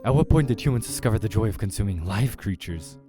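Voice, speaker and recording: A man's voice: lowish voice, animated, fast, music in the background, voice pushed up, natural voice. A man voicing a menacing, predatory female eldritch creature from a visual novel, with commanding, formal, alien phrasing. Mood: imperious menace. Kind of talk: acted visual novel dialogue.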